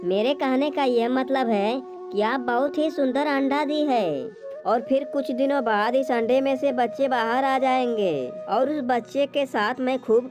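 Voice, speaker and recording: A woman voicing a sly, greedy male crow in a cartoon moral story, smooth-talking with false friendliness. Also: fairly high pitch, close to an everyday voice.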